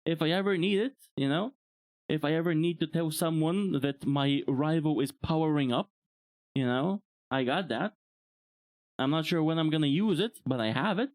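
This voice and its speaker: Relaxed, rambling young man. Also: a little high, moderate pace, dry recording. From Bulgaria. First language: Bulgarian. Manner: relaxed, rambling, chuckles often. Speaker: VTuber streamer. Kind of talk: casual live-stream chat.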